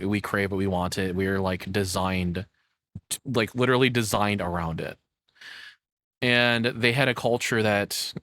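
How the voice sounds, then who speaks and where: Low-pitched man rambling in casual commentary. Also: a bit bright, dry recording. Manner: informal, rambling. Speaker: reaction video host.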